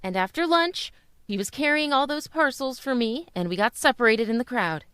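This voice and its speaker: A woman performing a polite but flustered young mother, protective and emotive, in old-time radio drama style. Her voice sits slightly high and swings widely in pitch, recorded dry and close. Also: expressive, moderate pace, sharp tone, clean tone, no echo.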